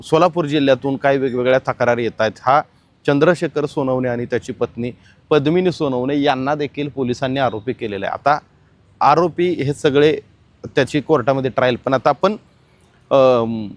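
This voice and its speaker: A man's voice: medium pitch, moderate pace. A man presenting explanatory crime news commentary, emphatic and speaking straight to the audience.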